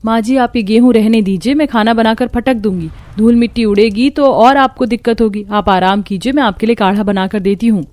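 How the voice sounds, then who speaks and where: A woman voicing a gentle, dutiful poor young daughter-in-law in a cartoon, caring and humble. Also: on the high side, brisk, hardly any pauses, dry recording, soft background music, natural voice.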